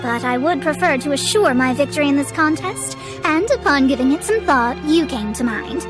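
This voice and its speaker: A woman's voice: high voice, lively intonation, moderate pace. A woman voicing a proud young female astrologist in a video game, speaking in a formal, theatrical, haughty way. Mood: confident, persuasive. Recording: music behind the voice.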